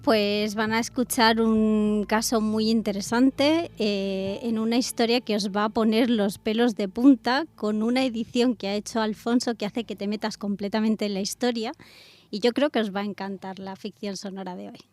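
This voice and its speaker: A woman's voice: medium pitch, fairly even intonation, normal speed, a little mellow. A woman with an accent from Spain, co-hosting a casual radio show. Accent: Spanish (Spain).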